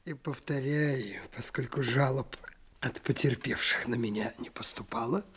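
An actor playing a cornered, evasive crime boss in a radio drama, husky and sardonic, stalling as he speaks. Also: mid-range voice, normal speed, fairly bright tone. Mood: defiant, sardonic. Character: cornered criminal boss under interrogation, evasive and defiant. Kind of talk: Soviet-style radio drama acting.

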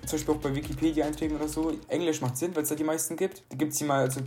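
A teenage boy talks at a rapid clip, piling up slang and loose asides as he chats with a classmate. He tends to cut in and keep going, and soft music plays faintly behind him. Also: on the high side, fairly even intonation. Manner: rambling, youth slang, interrupts often. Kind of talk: casual chat between classmates.

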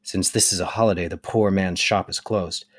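A man playing an anguished, resolute young nobleman in a dramatic reading of a stage tragedy, declaiming deliberately. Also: deep voice, steady tone, husky, dry recording, studio quality. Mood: brooding.

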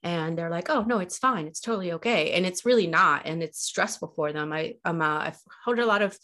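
A woman's voice: lowish voice, a little fast, bright, forceful tone. Stuffy-nosed woman explaining casually. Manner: easygoing, many fillers and "like". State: stuffy nose. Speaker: guest. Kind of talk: casual podcast chat.

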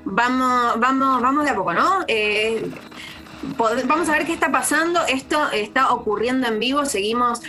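A woman playing a flustered radio host with a Buenos Aires accent, halting but trying to stay composed on air in a radio drama. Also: on the high side, sharp tone, soft background music. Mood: shaken, controlled. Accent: Buenos Aires Argentine. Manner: hesitant, halting, formal on-air phrasing.

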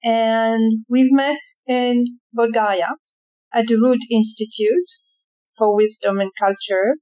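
Woman podcast host, slightly high pitch, warm mellow tone, slow and hesitant, dry sound. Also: steady tone, dry recording, dull audio. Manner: slow, thoughtful, hesitant with pauses. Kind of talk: podcast introduction and interview. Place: roadside cafe on a busy street.

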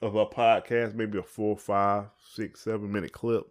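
A man's voice: lowish voice, flat delivery, normal speed. A man with a Southern US accent co-hosting a podcast in a casual, folksy, playful and rambling way. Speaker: podcast co-host. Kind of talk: casual chat.

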